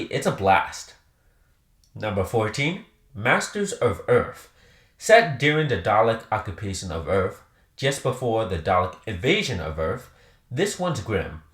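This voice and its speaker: A man's voice: on the low side, reverberant. A man presenting a scripted countdown review with emphatic, enthusiastic delivery. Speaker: host presenting a ranked countdown.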